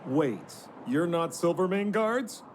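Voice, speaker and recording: A man voicing a smooth-talking, theatrical rogue trader in a video game, scheming and chummy, with flowery salesman patter. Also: sits high, lively intonation, slow, a little hiss or hum. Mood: chummy, ingratiating.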